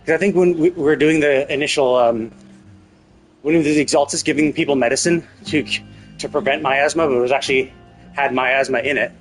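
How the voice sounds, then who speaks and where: A man's voice: mid-range voice, brisk, rough recording. A man streaming casual game commentary, thinking aloud and rephrasing himself as he speculates about a story. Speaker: live streamer. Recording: music playing behind the voice. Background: game music.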